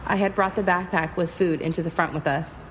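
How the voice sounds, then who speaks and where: A woman's voice: on the low side, steady tone, fairly quick, slight background noise, lightly acted, rough recording. An actress playing a resourceful, stubborn woman survivor in an audio drama, narrating and speaking in a terse, matter-of-fact way. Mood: narrating, subdued. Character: resourceful, stubborn female survivor traveling west. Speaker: lead actor and first-person narrator. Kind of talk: audio drama acting with first-person narration.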